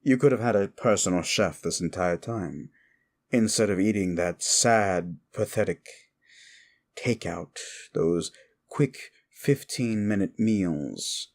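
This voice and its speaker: A man's voice: low voice, takes it slowly, a little mellow, exaggerated voice. A man playing a sly, evil demon who taunts and manipulates in an intimate ASMR roleplay, teasing and condescending. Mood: teasing, smug.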